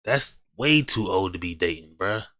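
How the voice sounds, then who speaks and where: A man's voice: deep voice, expressive, normal speed, sharp tone, no room sound, studio quality. A man hosting a reaction video, mocking what he watches in slangy, joking casual commentary.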